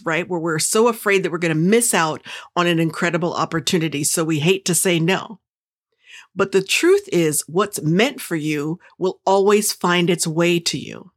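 Energetic female host with a somewhat low voice, giving an upbeat motivational monologue straight to the listener. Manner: upbeat, enthusiastic, pep-talk delivery; direct, playful, speaks straight to the listener. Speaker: podcast host.

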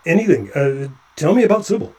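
An actor playing a nervous, chatty male doctor climbing along a ship's hull, halting with stammering restarts. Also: on the high side, sing-song, mellow, rough recording. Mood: anxious. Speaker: physician on a spacewalk. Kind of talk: audio drama acting.

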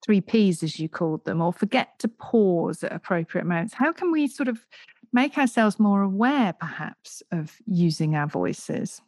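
A woman's voice: on the low side, big pitch swings, normal speed, darkish tone, no echo. A woman hosting a podcast, talking conversationally as she reflects and puts questions to a guest. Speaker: podcast host, museum educator. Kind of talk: conversational interview.

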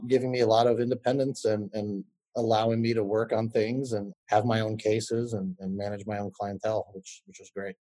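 A man in his thirties, an attorney giving a podcast interview, answering in a measured way with fillers and restarts. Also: on the low side, level tone, warm tone, poor audio.

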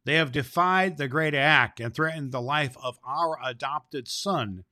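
A man voicing indignant immortal fairy folk in a storybook, making slow, emphatic declarations. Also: on the high side, pushed and bright, no room sound, clean studio sound. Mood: indignant, resolute.

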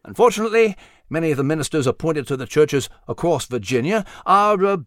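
A man's voice: a little high, lively intonation, fairly quick, dry recording, clean studio sound, natural voice. A man voicing an erudite male animal scholar in an audiobook story, explaining history in a precise, proper lecturing manner. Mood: earnest, explaining.

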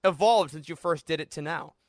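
A man's voice: on the high side, fairly quick, no room sound. A man co-hosting a casual podcast interview in an agreeable, informal way. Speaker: podcast co-host.

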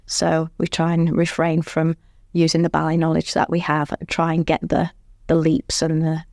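Woman with a slightly low voice, lively and good-humoured, telling stories, dry close sound. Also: moderate pace, gravelly, no echo. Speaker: former ballerina and audio describer. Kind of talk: conversational storytelling.

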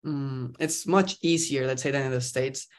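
A man's voice: mid-range voice, fairly even intonation, moderate pace. A young man, chatting upbeat as a podcast guest. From Costa Rica. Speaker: guest, startup founder. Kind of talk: casual interview chat.

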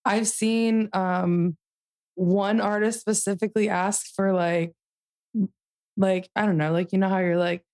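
A woman's voice: medium pitch, fairly even intonation, unhurried, a little mellow. A young woman chatting on a podcast about working in event production, rambling with lots of fillers. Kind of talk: casual chat.

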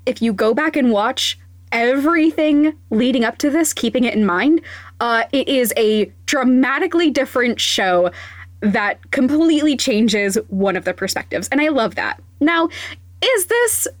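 A woman's voice: high voice, animated, a little slow, no echo. A woman hosting a podcast segment, chatting enthusiastically and laughing as she gives a recommendation. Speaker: podcast host.

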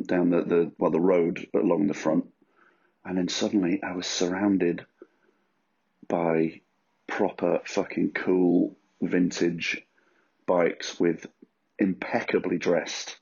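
A man with a husky, rough voice rambles through his solo podcast, crude and self-mocking. His voice sits very low with a heavy gravelly fry. The recording sounds dull and lo-fi. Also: a little mellow. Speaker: podcast host.

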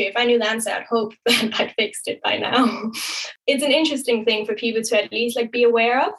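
A young woman with a somewhat high voice speaks freely as a podcast guest. She talks in a casual, chatty way, often tacking "right?" onto her points and laughing lightly as she goes. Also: fairly bright tone, rough recording. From Thailand. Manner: chatty, frequent "right?" tags and quick laughs. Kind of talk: casual interview talk.

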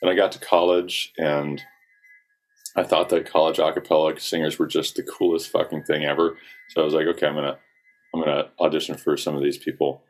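Very deep-voiced young man, flat and relaxed. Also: a little fast. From South Carolina, US. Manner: relaxed, rambling, frequent ums and likes. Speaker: guest singer-songwriter. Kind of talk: casual interview talk.

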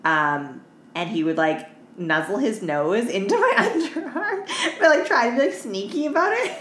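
A woman podcast co-host telling stories in casual, crude slang with an animated, giggly manner. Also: fairly high pitch, normal speed. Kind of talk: casual chat, storytelling. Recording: roomy, echoey room.

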